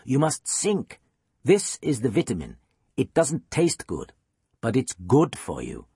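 An exuberant fifty-something Danish cello teacher, acted out by a male audiobook narrator, speaks with a Danish accent. He coaches with emphatic intensity and big swings of pitch, in a husky voice recorded dry. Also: wildly swooping pitch, measured pace, warm tone, no echo, full character voice. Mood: quietly insistent. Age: 50s.

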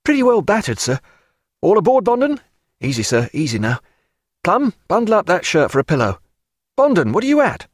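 An eager young naval officer played by a male narrator, pitched well up with lively swings. He is brisk and earnest, an audiobook character of the sailing age, heard very close and dry. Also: no echo, exaggerated voice. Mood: brisk, commanding.

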